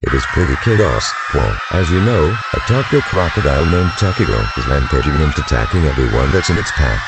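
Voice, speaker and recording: Field news reporter in a disaster spoof, male actor, low register, very loud music over the voice. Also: very animated, brisk. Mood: alarmed. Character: male field news reporter, panicking amid a monster attack. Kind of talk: amateur mock breaking news report.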